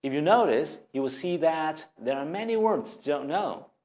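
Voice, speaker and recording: A man's voice: fairly high pitch, lively intonation, normal speed, darkish tone. A man with a Vietnamese accent, a native Vietnamese speaker, giving an earnest, encouraging English lesson straight to the viewer. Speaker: language teacher. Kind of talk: scripted video lesson.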